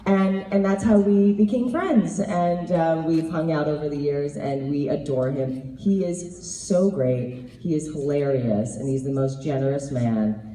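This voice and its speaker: A woman in her thirties, slightly hoarse, answering fan questions at a convention panel with laughing, animated storytelling. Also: deep voice, unhurried. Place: large convention hall with audience. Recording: roomy hall recording from far off.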